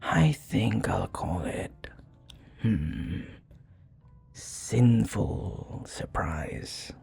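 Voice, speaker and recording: Man acting a deep, breathy, flirty vampire bartender. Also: a little mellow, soft background music, full character voice. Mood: suave, charmed. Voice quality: breathy, gravelly, often dropping to a whisper. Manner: suave, courteous, teasing, unhurried. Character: charming flirty male vampire bartender, polite, modest. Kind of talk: intimate ASMR roleplay.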